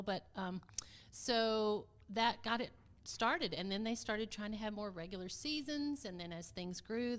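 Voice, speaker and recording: Middle-aged woman, medium-pitched, casual podcast guest. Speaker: podcast guest, community theater vice president of production. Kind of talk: casual conversational storytelling.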